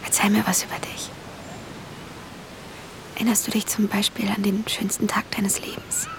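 A woman's voice: medium pitch, monotone, normal speed, in a whisper, natural voice, rough recording. A woman playing a restless, blunt teenage girl in an audio drama, with an offhand, teasing way of talking. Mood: intimate, curious. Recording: clear outdoor ambience noise.

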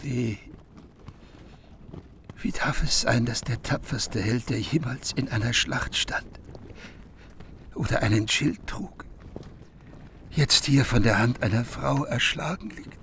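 A man's voice: mid-range voice, takes it slowly, pauses often, a bit bright, slight background noise. An actor playing a mournful king in a radio play, lamenting heavily. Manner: lamenting, heavy.

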